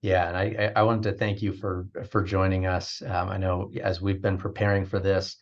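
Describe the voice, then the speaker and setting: A deep-voiced man hosts a business webinar, speaking sincerely with lots of pauses and restarts. The audio is slightly dull, as if from a video call. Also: level tone. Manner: hesitant, many fillers and restarts, sincere. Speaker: webinar host. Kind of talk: webinar talk.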